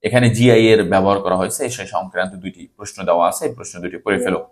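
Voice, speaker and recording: A man's voice: sits low, very fast. Bangladeshi male teacher, instructive delivery. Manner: instructive, repeats key phrases for emphasis. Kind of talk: exam-preparation lesson narration, reading questions aloud and instructing students.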